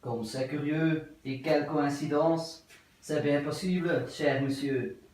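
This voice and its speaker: A man's voice: fairly high pitch, fairly even intonation, darkish tone. A man playing a prim, polite English wife. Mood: politely puzzled. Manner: formal, measured, repetitive politeness. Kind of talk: theatre acting of an absurd play. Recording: very echoey room, voice from far off.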